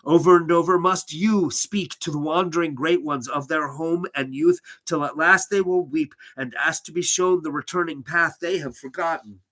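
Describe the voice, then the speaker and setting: A man's voice: on the high side, fairly even intonation, normal speed, hardly any pauses, sharp tone, pitched above the performer's own voice. A man voicing an otherworldly, godlike speaker who recites archaic fantasy prose in a weird, florid, declamatory manner. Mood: grand, declamatory.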